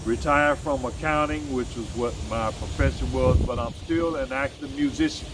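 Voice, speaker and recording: A man in his sixties talks straight to his audience in a loose, rambling vlog, circling back and correcting himself as he goes. He turns blunt and emphatic at times. His voice sits at an ordinary middle pitch for a man, with a clear air-conditioner hum running underneath. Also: moderate pace. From United States. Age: 60s. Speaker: vlogger and musician. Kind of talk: casual solo vlog monologue.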